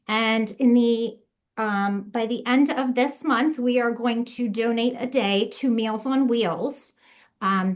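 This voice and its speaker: A woman presenting on a video call, reading prepared notes at an unhurried pace with occasional fillers. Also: a little high. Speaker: association staff presenter. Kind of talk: webinar presentation.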